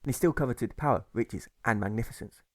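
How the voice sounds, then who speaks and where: A man reading a rhyming fable as audiobook narration in a measured, deliberate storytelling manner. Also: mid-range voice, no room sound, studio quality. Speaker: author reading his own audiobook.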